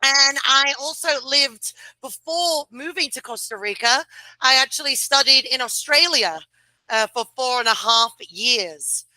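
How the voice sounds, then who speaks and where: Bright high-voiced woman. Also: unhurried, dry recording, rough recording. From United Kingdom. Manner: chatty, laughs easily, fillers. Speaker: guest, client relations director. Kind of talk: casual interview chat. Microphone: video call.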